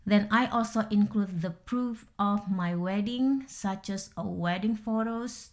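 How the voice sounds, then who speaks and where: A woman's voice: mid-range voice, measured pace. A woman with an Indonesian accent, whose first language is Indonesian, narrating a careful step-by-step voice-over that walks through documents one by one. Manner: careful, methodical, explaining one document at a time. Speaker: vlogger.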